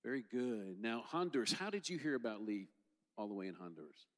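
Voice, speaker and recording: A man's voice: medium pitch, sing-song, a little fast, a bit bright. A middle-aged man emceeing a student panel on stage with a handheld mic, upbeat and joking. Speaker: host. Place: large stage hall, indoors. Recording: long hall reverb.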